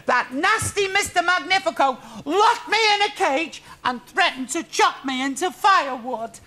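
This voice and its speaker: Naive little puppet boy, very high bright voice, gushing pantomime delivery, faint noise. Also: animated, heavily acted. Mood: eager, indignant. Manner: gushing, exclamatory, drawn-out delivery. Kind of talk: broad Christmas pantomime acting. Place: TV studio stage. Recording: faint background noise on studio stage.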